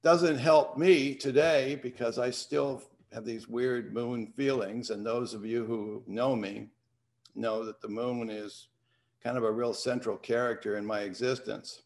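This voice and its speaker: A man's voice: medium pitch, steady tone, a little mellow. An older man hosting from his home study over a video call, talking in a reflective way and reading a poem aloud. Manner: unhurried, reflective. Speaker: host. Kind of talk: casual talk and poetry reading. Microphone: video call webcam.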